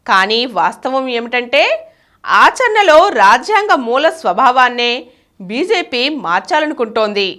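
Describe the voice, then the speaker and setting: Female narrator, high and forceful, animated intonation, formal essay read. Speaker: reader of a written essay. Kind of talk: scripted narration of a political essay.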